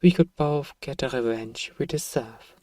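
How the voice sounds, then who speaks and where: A man's voice: mid-range voice, measured pace, dark tone, no room sound, close to an everyday voice. Man acting as pompous evil emperor parent, dry close sound. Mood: doting, scheming. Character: evil emperor, self-righteous scheming parent soothing a child. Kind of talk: ASMR bedtime story roleplay.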